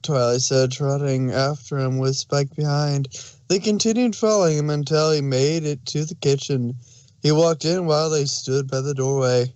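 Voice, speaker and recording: Story narrator: man, somewhat high-pitched, reading fan fiction prose aloud, dry acoustic. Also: fairly even intonation, moderate pace, darkish tone, no echo.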